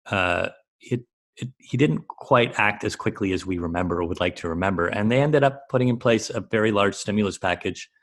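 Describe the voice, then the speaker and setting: Low-voiced man, halting and reflective. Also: fairly bright tone. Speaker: expert panelist on a conference call. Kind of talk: unscripted advisory commentary.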